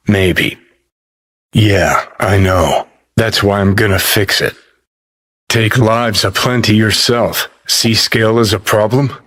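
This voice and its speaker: An actor playing a cynical rebel rockerboy ghost in a video game, talking in a gruff, sardonic drawl with clipped phrases. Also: male voice, lowish voice, fairly bright tone, exaggerated voice. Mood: cynical, biting. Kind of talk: video game character voice acting.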